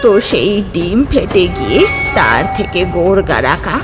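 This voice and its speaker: A woman's voice: lowish voice, big pitch swings, unhurried. A woman doing a stern old village sorceress. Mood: storytelling. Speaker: healer of the story. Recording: with loud background music.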